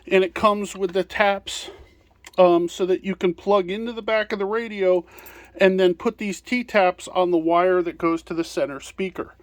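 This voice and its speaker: A man with a fairly high voice walks through products as a presenter. His delivery is informal and step-by-step, with some hesitation. The sound is dry, with very little room in it. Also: no echo. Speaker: product presenter and small business owner.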